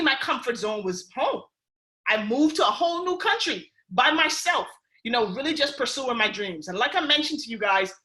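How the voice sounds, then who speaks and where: A young Bahamian woman with a Bahamian accent gives a motivational pitch to a conference call, animated and casual, often checking in with "right?". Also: reverberant. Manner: animated, keeps asking "right?" and "y'all", keeping it real. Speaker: speaker on a recruiting call.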